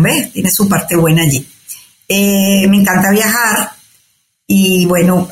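A woman's voice: medium pitch, roomy sound, a little hiss or hum, poor audio. An older woman from Venezuela with a Venezuelan Spanish accent, a guest being interviewed, speaking thoughtfully with fillers and restarts. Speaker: guest, astrologer. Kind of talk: conversational interview.

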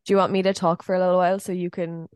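A woman co-hosting a podcast in a chatty, giggly way, her voice a little deeper than usual after a night out. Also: flat delivery, rapid-fire, darkish tone, smooth, no room sound. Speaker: podcast co-host. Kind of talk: casual chat. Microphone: podcast microphone.

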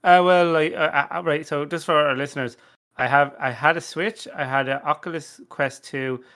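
Higher-voiced man in rambling casual podcast chat. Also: steady tone, moderate pace, a bit bright. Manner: rambling, stammering with many restarts. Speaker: podcast panelist.

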